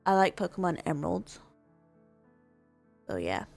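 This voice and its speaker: A woman's voice: on the low side, big pitch swings, a little slow, gravelly, a little mellow, faint music behind. A woman livestreamer chatting casually in a hesitant, rambling way, often repeating and rephrasing herself. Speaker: livestreamer and gamer.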